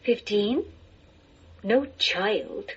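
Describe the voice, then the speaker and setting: Slow-spoken, husky young servant girl. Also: mid-range voice, lively intonation, dull audio, heavily acted. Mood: coy, intimate. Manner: deferential, slow, coquettish. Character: fifteen-year-old 18th-century servant girl, devoted, coy, entranced. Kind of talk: radio drama acting.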